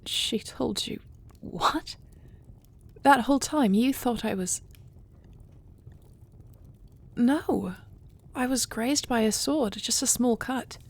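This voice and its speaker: Woman acting an intimate, creaky-voiced dragon queen. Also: a little high, takes it slowly. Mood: tender, relieved. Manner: intimate, formal storybook speech. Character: devoted dragon queen, fierce yet tender wife. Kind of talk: audio roleplay acting.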